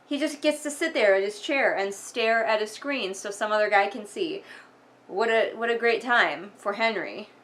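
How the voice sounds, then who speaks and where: A woman's voice: mid-range voice, normal speed, a bit bright. A woman giving casual, sarcastic book commentary in an emphatic, exasperated manner, sometimes reading passages aloud. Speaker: vlogger doing a critical book read-along.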